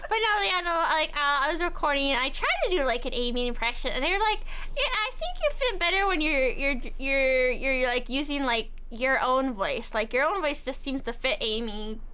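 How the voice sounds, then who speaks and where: A young woman guest on a game stream with a smooth, higher voice, chatting casually, giggly and agreeable. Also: lively intonation, normal speed, hardly any pauses, bright, forceful tone. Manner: giggly, agreeable, lots of mm-hmms. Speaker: guest voice actress. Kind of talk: casual chat while gaming.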